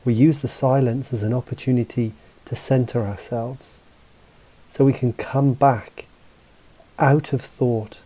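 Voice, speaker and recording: A man leads a guided mindfulness meditation in a very dark, soft-edged and relaxed voice. His delivery is calm and measured, leaving space between phrases as he gently instructs. The pitch sits in an ordinary male range. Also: breathy edge. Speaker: meditation guide and mental coach.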